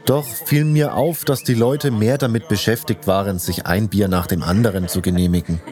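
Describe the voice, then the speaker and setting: A man's voice: fairly low pitch, steady tone, moderate pace. A man reading scripted first-person narration for a fantasy audio story. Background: loud music.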